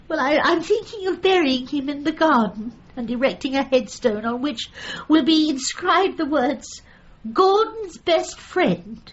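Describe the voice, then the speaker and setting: A grieving widow in a gentle radio comedy drama. Her voice is very high and slow, hesitant and tearful, weighed down with guilt. The recording is dull, with faint noise. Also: lively intonation. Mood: wistful. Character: grieving, guilt-ridden, dithering widow with a dog.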